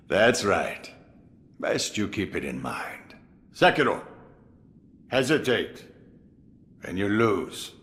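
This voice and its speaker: A man's voice: lowish voice, sing-song, takes it slowly, long pauses, fairly bright tone, heavily acted. A man playing an aged, sly and grandfatherly sword master in a video game, with a theatrical, musing delivery. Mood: relishing, reflective. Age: older. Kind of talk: dramatic video game dialogue.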